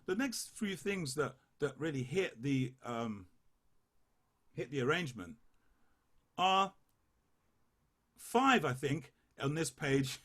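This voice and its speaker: A man leading a choir rehearsal, coaching the basses and singing short phrases to demonstrate. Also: fairly bright tone. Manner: unhurried, sings phrases to demonstrate. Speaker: choir leader.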